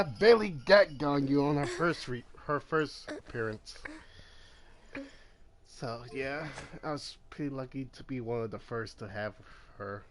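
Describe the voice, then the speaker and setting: A man casually commenting over his gameplay, talking in a halting way with many fillers and restarts. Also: medium pitch, a little hiss or hum. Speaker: gameplay streamer.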